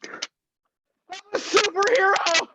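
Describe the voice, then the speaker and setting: A man's voice: very high-pitched, very slow, full character voice. A man playing a childlike, elated oddball superhero in an amateur table read, on a very noisy recording. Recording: very noisy line with clicks.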